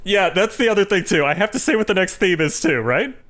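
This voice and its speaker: Man, fairly high voice, very animated intonation, podcast co-host, casual film chat, explanatory. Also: quick pace.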